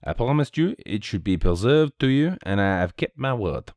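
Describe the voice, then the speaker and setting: A man's voice: fairly low pitch, big pitch swings, normal speed, darkish tone, no room sound. A man voicing a small, cheerful, self-assured detective with a courteous, precise, theatrical manner, in a storybook reading. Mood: placid, courteous.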